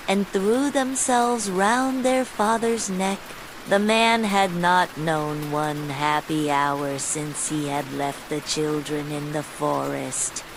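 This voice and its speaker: A woman narrating a fairytale as a bedtime story in a calm, soothing manner. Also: fairly low pitch. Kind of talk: scripted fairytale audiobook narration. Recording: steady rain noise over the voice. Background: rain sounds.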